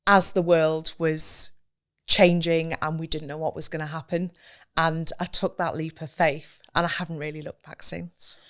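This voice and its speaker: A woman's voice: deep voice, level tone, moderate pace, fairly bright tone. A woman in her thirties, a business owner, talking in an earnest, reflective way about her career as a guest in a casual interview. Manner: earnest, reflective, with some restarts.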